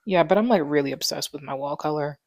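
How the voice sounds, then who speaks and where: A woman's voice: sits low, fast, no room sound, clean studio sound. A woman in her twenties chatting casually and rambling at home, with lots of fillers, on a clip mic. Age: 20s. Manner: relaxed rambling, many fillers. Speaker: vlogger. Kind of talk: casual chat. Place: living room at home. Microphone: wireless clip mic.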